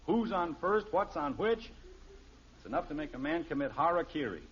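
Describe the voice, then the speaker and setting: A man's voice: on the high side, sing-song, normal speed, fairly bright tone, slightly rough, full character voice. An actor playing an exasperated, blustering middle-aged American father in a 1950s radio sitcom, speaking emphatically. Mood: indignant. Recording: dull, noisy old radio recording.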